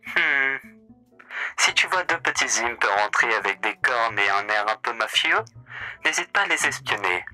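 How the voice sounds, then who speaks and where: A man in a roleplay playing a suave hotel host, speaking in a smooth, theatrical way with drawn-out hums. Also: medium pitch, lively intonation, bright, forceful tone, faint music behind, low-quality recording. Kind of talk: in-character roleplay chat.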